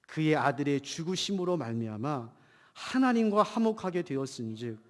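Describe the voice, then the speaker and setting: A man preaching a church sermon as pastor with a cold, emphatic, swinging from loud declarations to hushed asides and putting questions to the congregation. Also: fairly high pitch. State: has a cold. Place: church hall during a service. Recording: long hall reverb in church.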